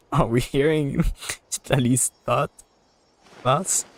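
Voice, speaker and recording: A man giving casual live commentary as a game streamer, rambling and halting as he repeats words. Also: mid-range voice, slight background noise.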